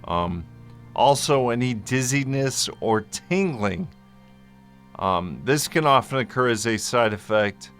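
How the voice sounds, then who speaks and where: A man giving an informal explainer as a vlogger, speaking in a halting, deliberate way with long hesitations and at times mildly slurred speech. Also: mid-range voice, animated, a bit bright, faint music behind. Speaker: vlogger sharing a personal medical journey. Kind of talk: informal explainer, part reading notes, part ad-libbed.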